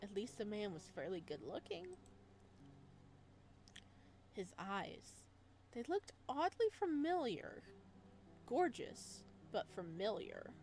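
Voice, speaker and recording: A woman doing a let's play, reading story text aloud and adding expressive, chatty reactions in a casual style. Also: medium pitch, slow pace, creaky, long pauses, a little hiss or hum. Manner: expressive, chatty asides between read passages.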